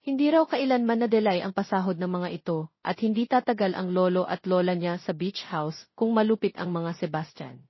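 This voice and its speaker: A woman's voice: fairly low pitch, a little fast, no room sound. Filipino woman narrating, dry dull sound. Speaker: story narrator. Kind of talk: scripted romance story narration.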